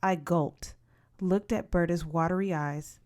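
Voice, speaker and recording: A woman reading a children's story aloud in expressive scripted narration. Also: lowish voice, a little slow. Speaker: story reader.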